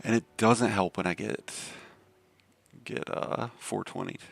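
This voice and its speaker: A man from the Southern US with a deep voice and a Southern accent, chatting casually on a game live stream. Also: steady tone, unhurried, vocal fry. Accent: Southern American. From Southern United States. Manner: laid-back, rambling with many fillers.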